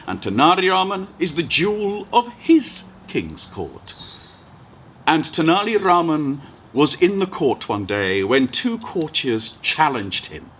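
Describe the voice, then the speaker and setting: A man telling a folk tale aloud as a storyteller, dramatic and expressive, with deliberate repetition. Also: a little high. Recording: noticeable background noise.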